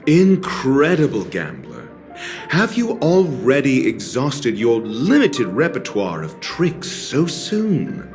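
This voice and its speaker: A man's voice: mid-range voice, wildly swooping pitch, takes it slowly, a little mellow. A man voicing a condescending, erudite scholar in a video game, speaking in a pompous, formal, sardonic way. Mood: disdainful. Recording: music behind the voice. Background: game music.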